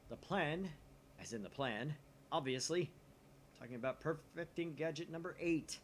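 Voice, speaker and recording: A man's voice: on the high side, moderate pace, a little hiss or hum, voice pushed up, natural voice. A man voicing a pompous young self-styled mad scientist from a visual novel, declaiming dramatically. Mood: insistent, self-important.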